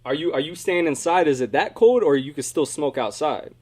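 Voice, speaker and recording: A man's voice: on the high side, a little fast, darkish tone. Slangy, informal male podcast host. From United States, Philadelphia area. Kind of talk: casual interview.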